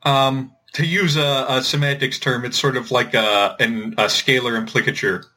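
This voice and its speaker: A man explaining things informally as a podcast host, thinking aloud in a halting way with repeated words. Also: medium pitch, steady tone, bright, forceful tone. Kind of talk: informal explanatory discussion of linguistics.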